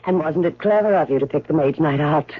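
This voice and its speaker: An actress playing a coy, seductive art patron in a 1970s radio drama, with teasing, flirtatious delivery. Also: female voice, deep voice, brisk, slight background noise, natural voice. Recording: muffled old radio broadcast.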